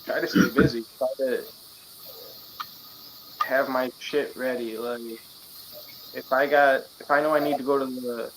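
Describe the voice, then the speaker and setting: Laid-back fighter, casual chat. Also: male voice, medium pitch, flat delivery, a little slow, pauses often, noisy background. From Wisconsin. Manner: laid-back, plainspoken, frequent fillers. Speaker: interviewee, mixed martial artist.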